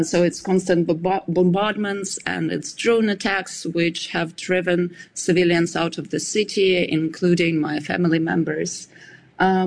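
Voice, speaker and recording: A woman's voice: lowish voice, slight background noise. A woman from Ukraine with a Ukrainian accent, giving considered answers as an interview guest. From Zaporizhia, Ukraine. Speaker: interview guest, founder of a research organization.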